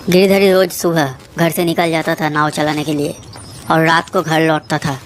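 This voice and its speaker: A woman narrates a scripted horror tale. Her voice sits on the low side for a woman. Clear noise, like trickling water, runs behind her. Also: level tone, moderate pace. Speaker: story narrator. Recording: noisy track with running water sounds.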